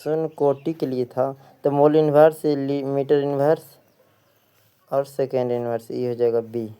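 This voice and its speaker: A man's voice: medium pitch, little pitch movement, a little slow, dark tone, clean tone. A man with an Indian accent teaching for an exam, reading quiz questions and answers aloud and often repeating them.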